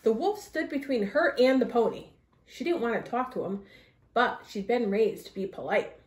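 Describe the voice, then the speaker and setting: A woman reading a children's story aloud with expressive narration in an echoey room. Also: on the high side, moderate pace.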